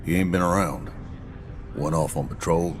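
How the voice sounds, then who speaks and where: Man playing a very deep-voiced weary bartender. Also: steady tone, moderate pace, noisy background, close to an everyday voice. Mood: weary, somber. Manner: plainspoken, world-weary, colloquial. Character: weary, wary male Martian frontier bartender. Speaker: bar owner character. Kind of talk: video game cutscene acting.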